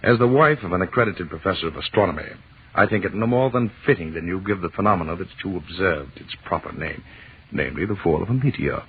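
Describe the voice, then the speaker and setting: An actor playing a wry, romantic astronomy professor and husband with florid, mock-pompous delivery in an old radio drama. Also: male voice, deep voice, animated, quick pace, breathy edge, full character voice. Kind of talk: 1940s radio drama acting. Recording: muffled, noisy old radio recording.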